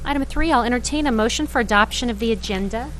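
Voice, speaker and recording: A woman's voice: a little high, fairly bright tone, soft background music. Woman chairing a council meeting. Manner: measured, procedural. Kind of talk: formal meeting procedure. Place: city council chamber.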